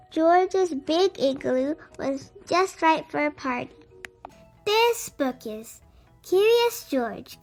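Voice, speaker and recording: A storybook reader narrating a picture book expressively for young children. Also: high voice.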